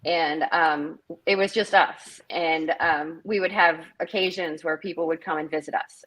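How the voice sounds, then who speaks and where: A middle-aged woman from northern Minnesota talks on a video call in a slightly low voice. She rambles casually and fills gaps with "um" and restarts, sounding easygoing and sincere. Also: a bit bright, poor audio. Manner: chatty, rambling with fillers. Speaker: festival director, guest. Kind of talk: casual interview chat.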